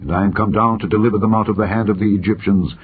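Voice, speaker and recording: Majestic male deity read by a man for scripture audiobook: authoritative, declamatory, deep, tinny narrow-band recording. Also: steady tone, racing, slight background noise, lightly acted. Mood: solemn compassion.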